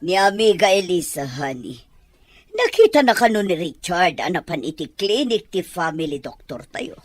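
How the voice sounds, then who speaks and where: A woman's voice: lowish voice, very animated, moderate pace, no room sound, soft background music. An actress playing a protective, worrying mother and wife in a radio drama. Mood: resigned, concerned.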